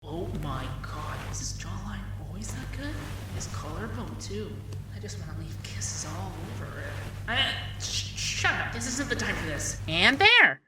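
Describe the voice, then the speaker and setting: A man playing a young, fussy, devoted femboy secretary in a scripted ASMR roleplay, chatty and expressive. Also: high voice, measured pace, few pauses, noisy background. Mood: flustered, smitten. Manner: chatty, expressive, bashful asides. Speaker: voice actor in roleplay audio.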